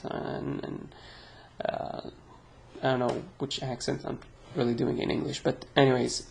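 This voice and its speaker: A man's voice: mid-range voice, vocal fry. A young man with an Arabic accent, a native Arabic speaker, giving a chatty, self-deprecating online pronunciation lesson. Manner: slow, chatty, self-deprecating, drawn-out sounds. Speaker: language teacher. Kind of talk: casual online language lesson.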